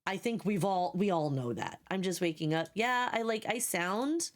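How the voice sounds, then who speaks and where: A woman's voice: mid-range voice, expressive, moderate pace. A young woman streaming live, talking casually to her viewers. Her voice is scratchy and sleepy, and she is blunt and sarcastic, swearing freely and repeating herself as she goes.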